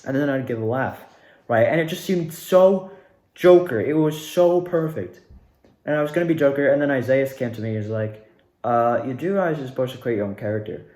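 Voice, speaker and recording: A young man telling a casual personal story in a rambling, animated way, repeating words for emphasis. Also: mid-range voice, brisk. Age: young adult. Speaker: student actor recounting past performances. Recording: echoey, reverberant room.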